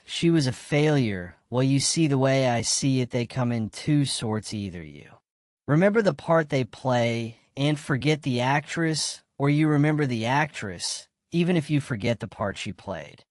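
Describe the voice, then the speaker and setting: A man playing a guarded, bitter widower in an audio drama, speaking in an earnest, halting, reflective way. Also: medium pitch, lively intonation, no echo, clean studio sound, lightly acted. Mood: reflective, regretful. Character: guarded, bitter widower confessing a failed marriage.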